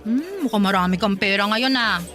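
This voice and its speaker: An actress playing a feisty, touchy young market food vendor in a Filipino radio romance, bantering expressively. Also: female voice, medium pitch, animated, normal speed, a bit bright, lightly acted. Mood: testy teasing.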